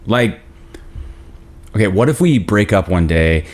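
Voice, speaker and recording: A self-doubting young boyfriend with a deep voice, played by a man at a table read. He sounds weary and hesitant, grumbling through his lines. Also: animated, measured pace, a little mellow. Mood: apologetic, hesitant.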